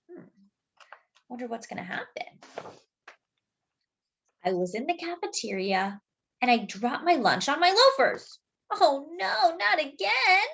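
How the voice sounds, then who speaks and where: A woman reading a children's picture book aloud, pausing to point out details in the pictures. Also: high voice, fairly bright tone, highs cut off. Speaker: children's storyteller.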